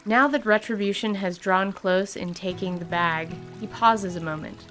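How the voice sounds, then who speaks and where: A woman narrating a scripted tabletop radio drama in an even, descriptive way, over music. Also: medium pitch, moderate pace. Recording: clear music bed under voice.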